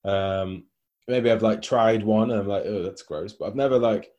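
A man with a mellow, darker tone and a lowish voice, chatting on a podcast as a coach. He talks loosely, with plenty of fillers like "like" and "you know". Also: steady tone, normal speed, muffled recording. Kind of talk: casual podcast discussion.

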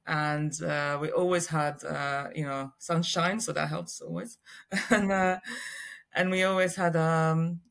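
Italian woman in her forties, guest psychologist, gravelly and halting. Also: deep voice. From Italy. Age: 40s. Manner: hesitant, many "uh" and "um" fillers. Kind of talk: casual interview.

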